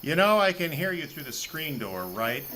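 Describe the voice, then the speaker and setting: A man's voice: fairly high pitch, big pitch swings, moderate pace, pushed and bright, slight background noise, poor audio. An actor playing a paranoid, foreboding woodsman in an audio horror drama, insistent and given to rambling. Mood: smug, ominous. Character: paranoid, ominous woodsman convinced that creatures are coming.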